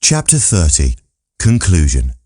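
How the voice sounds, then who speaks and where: A man's voice: sits low, huge pitch swings, unhurried, warm tone, husky, dry recording, studio quality. A man narrating a classic literary story from a script as an audiobook reader.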